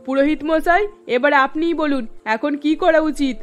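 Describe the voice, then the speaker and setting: A woman's voice: sits high, normal speed, faint music behind, close to an everyday voice. A woman voicing a firm, protective middle-aged mother-in-law in a cartoon, with an authoritative, emphatic manner. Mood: troubled.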